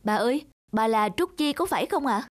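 A woman's voice: on the high side, expressive, fairly quick. A woman voicing a kind, dutiful young male police officer in a cartoon, polite and reassuring. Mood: earnest, respectful. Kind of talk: cartoon dub acting.

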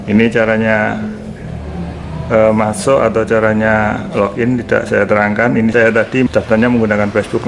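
A man's voice: lowish voice, creaky. A man giving a casual hands-on product demonstration, repeating short phrases as he goes. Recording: noticeable background noise.

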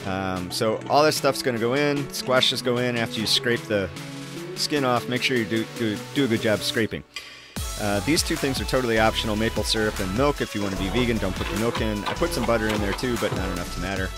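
A man's voice: fairly low pitch, brisk. A man in his forties chatting to the camera in a loose, self-mocking way while cooking in his kitchen and out riding his bike. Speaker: cycling vlogger. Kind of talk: casual vlog, cooking and riding. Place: home kitchen, then outdoors on a road bike. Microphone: action camera on the bike or held close. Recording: action-camera audio with music underneath.

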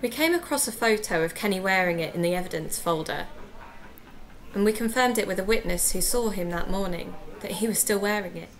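A woman's voice: mid-range voice, fairly quick, natural voice. Polite, inquisitive woman investigator played by an actress. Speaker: podcast interviewer. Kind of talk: audio drama acting.

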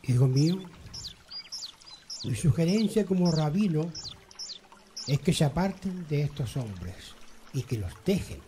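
Actor as expressive, cautious fatherly rabbi, mellow voice. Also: fairly high pitch, a little hiss or hum. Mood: solemn, cautioning. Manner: measured, counselling, deliberate. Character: elder rabbi mentor, measured, cautious, fatherly wise man. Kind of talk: amateur Spanish-language radio drama acting.

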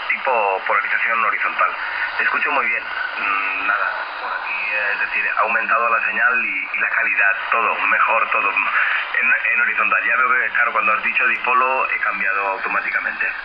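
A man chatting casually about technical matters as a radio operator, haltingly, over a CB radio transmission. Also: fairly low pitch, steady tone, dull audio. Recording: noisy, band-limited shortwave radio reception.

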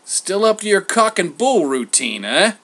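A man's voice: high voice, very animated, measured pace, a bit bright, voice pushed up, exaggerated voice. A man playing a blunt, sardonic travelling poet, wry and sneering, in a comic story. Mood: wry, chuckling. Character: Homer, sardonic blind travelling poet, gruffly familiar.

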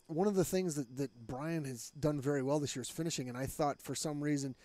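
A man's voice: a little high, a little fast, mellow, no echo. A middle-aged man, a head soccer coach, talking candidly in a postgame interview through a headset mic in a small studio. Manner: candid, conversational, with frequent fillers. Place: small studio with green backdrop.

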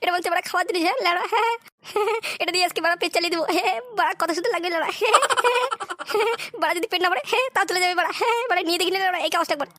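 A man's voice: very high voice, rapid-fire, sharp tone. A man voicing a hungry, simple-minded village madman who begs pitifully and repeats himself in a comedy cartoon. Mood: puzzled, excited. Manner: repetitive, pleading. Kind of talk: crude adult comedy cartoon dubbing.

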